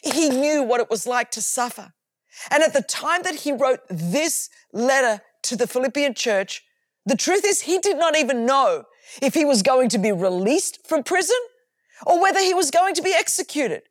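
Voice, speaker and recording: Australian woman preacher, high-pitched, emphatic and chatty, dry close sound. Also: normal speed, dry recording. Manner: animated and emphatic, with conversational asides and repeated words. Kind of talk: sermon.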